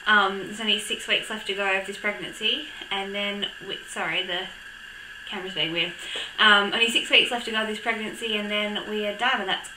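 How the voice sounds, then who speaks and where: Young aussie woman, croaky from a cold, rambling chatty vlog, ums everywhere, roomy echo. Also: mid-range voice, fairly even intonation, a little fast, noisy background. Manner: chatty, lots of ums and laughs. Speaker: vlogger. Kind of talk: casual chat.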